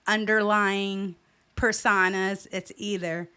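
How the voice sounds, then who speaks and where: Smooth-voiced, emphatic young woman. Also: mid-range voice, little pitch movement, slow, sharp tone, dry recording. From California. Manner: emphatic, repeats key points, direct. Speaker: stylist and boutique owner, guest. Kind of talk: interview answers, advice.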